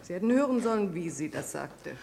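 A woman's voice: lowish voice, lively intonation, fairly quick, gravelly, warm tone. A woman acting a spiteful, gossipy employee in a crime radio play, insinuating and emphatic. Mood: eager, accusing. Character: spiteful, gossipy female employee accusing a widower. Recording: roomy, faintly noisy radio play.

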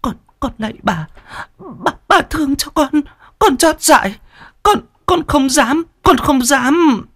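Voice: sits high, sing-song, slow pace, vocal fry, a bit bright, voice pushed up, heavily acted. A narrator with a Northern Vietnamese accent voicing a terrified young servant girl who stammers and begs through tears. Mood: tearful begging. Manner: stammering, begging, tearful.

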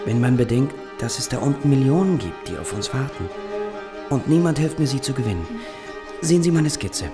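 Animated visionary engineer played by a man, music behind. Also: mid-range voice, fairly quick, close to an everyday voice. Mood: urgent enthusiasm. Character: frustrated visionary engineer, eager for capital, 19th century. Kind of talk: German radio drama acting.

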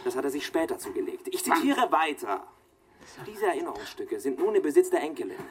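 A man's voice: on the high side, sing-song, brisk, slightly rough. A man playing a precise, needling researcher in a radio play, insistent and pedantic as he presses his revelations. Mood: pedantic, triumphant.

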